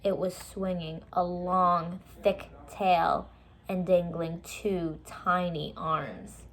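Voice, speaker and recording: A woman reading a children's story aloud, with expressive, deliberate and dramatic narration. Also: on the low side, poor audio.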